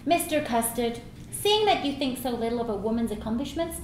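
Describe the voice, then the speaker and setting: Self-assured, proud female adventurer, acted by a woman at a table read; highish pitch, roomy sound with faint noise. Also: animated, a little fast, close to an everyday voice. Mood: teasing, confident. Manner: firm, self-assured, formal. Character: proud, determined, independent young woman cyclist adventurer. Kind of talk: screenplay table reading. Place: echoey room, live table reading. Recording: echoey room, faint background noise.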